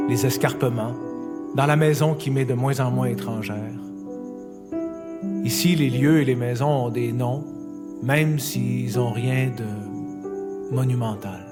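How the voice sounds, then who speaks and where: A man with a Quebec French accent reading a personal letter aloud in a calm, reflective, intimate way. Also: mid-range voice, measured pace, long pauses. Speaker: letter writer. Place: recording studio. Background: faint music.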